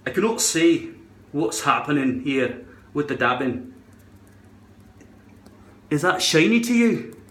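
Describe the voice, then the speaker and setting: Guy doing a wobbly fake scottish accent, rambly how-to vlog, real slow talker, echoey room. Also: fairly high pitch, very animated, fairly bright tone. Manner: trying on a shaky put-on Scottish accent; rambling, repeats words, sweary. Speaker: vlogger demonstrating a hairpiece adhesive product. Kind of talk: rambling casual product tutorial. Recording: roomy, echoing indoor sound.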